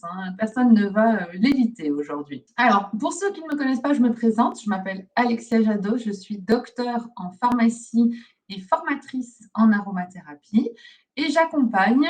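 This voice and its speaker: A woman from Belgium with a fairly high voice hosts an informal teaching session. She explains things in a chatty, encouraging way, as a trainer would. The voice sits slightly away from the microphone. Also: darkish tone, distant mic. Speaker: host, pharmacist and aromatherapy trainer. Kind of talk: informal live question-and-answer teaching.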